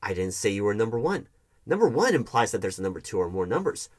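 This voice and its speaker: A man playing a defensive, evasive hiring manager arguing with his ex in a one-sided audio roleplay, halting and repeating his words. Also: fairly low pitch, sing-song, voice pushed down. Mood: defensive, exasperated. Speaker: roleplay performer.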